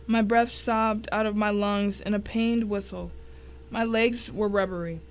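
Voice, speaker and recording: A woman's voice: medium pitch, steady tone, a little slow, rough recording. A woman giving a dramatic first-person reading of a short story as one of its narrators.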